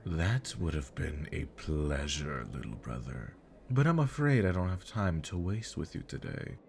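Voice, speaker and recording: Suave demon villain brother for audio roleplay, male actor: deep, swooping, mock-polite and flirtatious, over faint noise. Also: big pitch swings, measured pace, gravelly, full character voice. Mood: smug, taunting. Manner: drawling, mock-courteous, flirtatious. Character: smug, taunting demon older brother, suave romantic rival. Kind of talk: ASMR roleplay villain acting.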